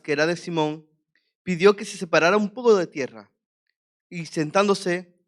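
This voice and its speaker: Venezuelan guy preaching in church, smooth clear voice, pitched a bit high, earnest and jovial. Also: fairly bright tone. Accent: Venezuelan Spanish. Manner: earnest, jovial, reads scripture expressively. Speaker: guest preacher. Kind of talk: sermon with scripture reading. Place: church hall.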